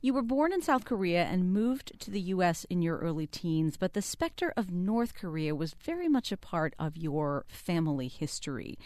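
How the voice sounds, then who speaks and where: A man hosting a public radio talk show, reading a scripted introduction and then asking measured interview questions. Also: high voice, big pitch swings, darkish tone, no echo, clean studio sound.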